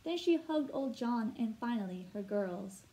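A woman in her thirties reading a picture book aloud expressively, like a teacher, pausing to explain things. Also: on the high side, expressive, dark tone, rough recording. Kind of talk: expressive children's read-aloud narration. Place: indoor room at home.

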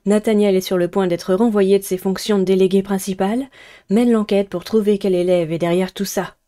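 A woman's voice: medium pitch, fairly even intonation, normal speed, mellow. A woman, narrating a video game playthrough and reading a visual novel aloud. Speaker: gaming streamer.

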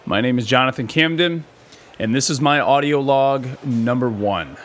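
A man playing a bitter, grieving soldier recording a personal audio log in a halting, emotional way for an audio drama. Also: medium pitch, a bit bright, natural voice. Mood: reluctant, awkward. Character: grieving, bitter, despairing male soldier in therapy.

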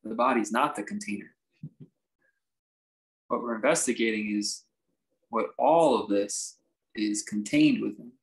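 A man teaching a guided meditation, speaking in measured, halting phrases with reflective pauses. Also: lowish voice, gravelly, rough recording. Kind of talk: guided meditation, unscripted instruction.

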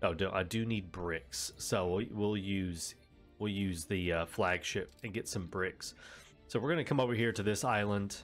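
A man with a stuffy head cold talks through his game in a relaxed, rambling way, often stopping to correct himself. His voice sits fairly low and sounds nasal. Soft music plays quietly behind him. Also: normal speed. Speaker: let's play host. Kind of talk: casual gameplay commentary.

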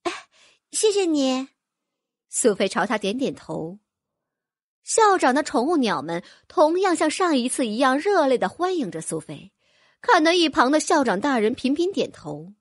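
A woman narrating a fantasy audiobook in a lively, expressive way. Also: sits high, normal speed, dry recording, clean studio sound. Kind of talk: scripted fantasy novel narration.

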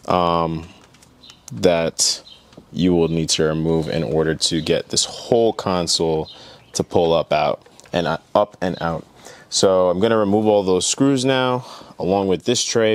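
A man's voice: sits low, no room sound. A young man talking casually and explaining hands-on work, as in a DIY car vlog, into a clip-on mic. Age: young adult. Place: indoor garage, partly inside car. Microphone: clip-on lavalier.

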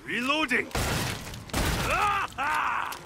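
Through loud gunfire comes an arrogant male monster slayer from a video game. His gravelly delivery swings dramatically in pitch, with terse, scornful exclamations. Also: big pitch swings, very slow, very noisy, full character voice. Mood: fierce, shouting. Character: intense, arrogant male monster-slaying fighter. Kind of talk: video game combat barks.

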